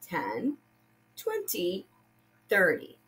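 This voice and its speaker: A woman's voice: lowish voice, huge pitch swings, very slow, a bit bright, low-quality recording. A woman teaching young children, patiently explaining step by step and reading aloud like a primary school teacher. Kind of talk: children's lesson and picture book read-aloud.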